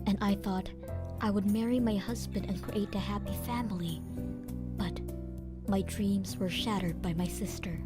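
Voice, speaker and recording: Mid-pitched woman narrating a life story. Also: fairly even intonation, normal speed. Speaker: narrator and voice actor in a manga dub. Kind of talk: scripted first-person story narration. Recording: music bed under the voice. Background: background music.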